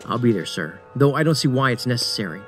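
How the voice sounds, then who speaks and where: Man playing a deadpan federal agent, medium pitch. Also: fast, faint music behind, close to an everyday voice. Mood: dry, deadpan. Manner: deadpan, matter-of-fact. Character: dry, stubborn male federal special agent. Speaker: actor in audio drama. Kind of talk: dramatic audio drama acting.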